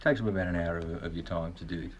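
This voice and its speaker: Deep-voiced man on hissy old tape. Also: fairly even intonation, racing, slightly rough. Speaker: radio guest, hobbyist. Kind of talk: answering interview questions. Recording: dull radio tape with faint hiss.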